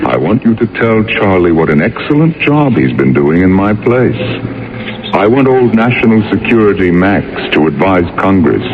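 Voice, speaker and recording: Radio drama president, male: deep, grave and declamatory, heard on a muffled old broadcast. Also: very animated, normal speed, a little hiss or hum. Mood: calm authority. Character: visionary male president and scientist, grave and lofty. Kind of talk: 1980 radio drama acting. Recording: dull vintage radio recording, music behind.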